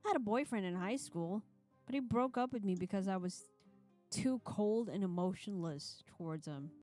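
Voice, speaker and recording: A woman's voice: medium pitch, moderate pace, mellow. A woman on a live stream, sounding a little tired as she reads a game story aloud and banters with co-streamers. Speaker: streamer reading a visual novel aloud with co-streamers. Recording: music behind the voice.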